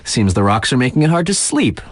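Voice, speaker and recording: An actor playing a laid-back, wry mountain-town radio DJ in a video game, reading mock local news with casual, slangy deadpan asides. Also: male voice, medium pitch, fairly quick, dry recording, studio quality. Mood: amused.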